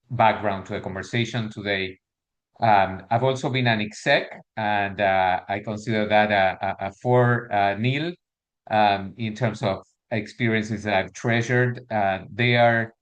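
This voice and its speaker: Man, Argentine Spanish accent, middle-aged, low voice, halting delivery with many fillers, video call talk. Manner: hesitant, many fillers and restarts. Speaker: workshop speaker. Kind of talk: online workshop presentation.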